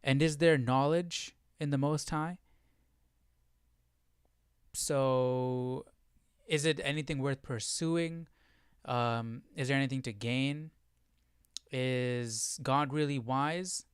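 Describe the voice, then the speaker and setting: A man's voice: mid-range voice, slow, long pauses, clear, resonant tone, dry recording, studio quality. A man hosting a casual live Bible study, explaining as he thinks aloud and often posing questions. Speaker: livestream Bible study host.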